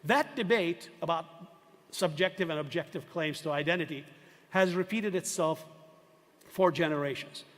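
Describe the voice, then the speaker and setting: Older male professor, fairly high voice, emphatic lecture delivery with rhetorical questions, hall reverb. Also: normal speed, fairly bright tone. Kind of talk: university lecture.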